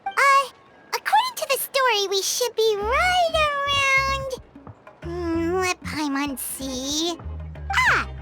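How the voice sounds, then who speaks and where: A woman voicing a tiny, chatty, excitable fairy-like companion in an anime video game, with a squeaky childlike voice. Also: takes it slowly, loud music behind the voice, heavily acted. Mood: bubbly, excited. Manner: speaks of self in third person, bubbly.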